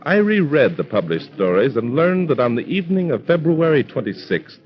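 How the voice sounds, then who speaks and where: An actor playing an investigator who recounts a crime case as narrator, serious, in old-time radio mystery style. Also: male voice, medium pitch, sing-song, moderate pace, lightly acted. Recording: dull, noisy vintage radio recording.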